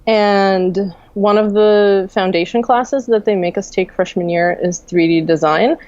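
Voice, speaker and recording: A woman's voice: medium pitch, measured pace, dry recording, dull audio. A young woman from Russia, a game designer, talking casually in a podcast interview with fillers and small laughs. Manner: relaxed, soft-spoken, many fillers and laughs.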